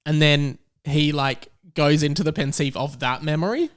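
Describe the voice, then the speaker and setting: A man's voice: a little high, moderate pace. A man as a comedy podcast guest, giggly and jokey, chatting casually and commenting on a story.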